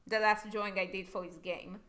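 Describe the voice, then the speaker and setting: Bright-voiced blunt young woman with an accent. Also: mid-range voice, flat delivery, brisk. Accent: non-native English. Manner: blunt, swears freely, laughs a lot. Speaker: animator and stream co-host. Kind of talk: casual livestream chat.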